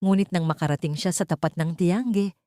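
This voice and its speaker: A woman's voice: on the low side, brisk, dark tone, no echo, clean studio sound. A woman reading a scripted story as a dramatic narrator.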